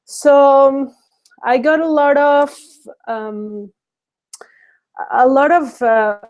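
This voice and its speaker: A woman's voice: sits high, fairly even intonation, drawn-out words, a little mellow, low-quality recording. A woman from Mexico in her thirties hosting a casual webinar, speaking hesitantly with many fillers and restarts. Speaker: webinar host.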